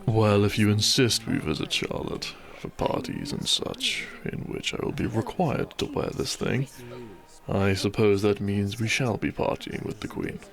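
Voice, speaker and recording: A man playing a devoted, tender doctor and newlywed groom in a romantic audio roleplay, speaking softly and earnestly to his beloved. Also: lowish voice, sing-song, a little slow, vocal fry, full character voice. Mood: fond, relaxed.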